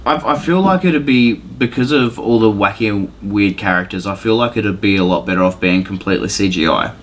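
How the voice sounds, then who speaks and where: A man hosting a podcast in a casual chat, often pausing to think aloud. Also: on the low side. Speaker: podcast host. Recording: faint room noise behind voice.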